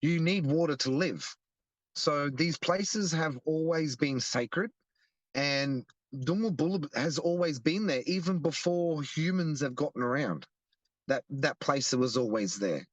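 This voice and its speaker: A man's voice: fairly high pitch, expressive, unhurried, dry recording, lo-fi sound. Earnest Australian man, dry close sound. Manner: earnest, explanatory, frequent restarts and fillers. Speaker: guest, activist. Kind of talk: casual interview.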